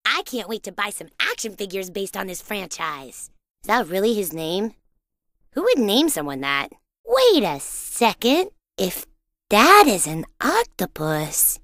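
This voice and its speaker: A woman voicing a boisterous, imaginative and boastful young boy in a cartoon-style video game, with an excitable, dramatic, childlike delivery. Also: mid-range voice, takes it slowly, dry recording, studio quality. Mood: casual, teasing. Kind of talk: animated video game voice acting.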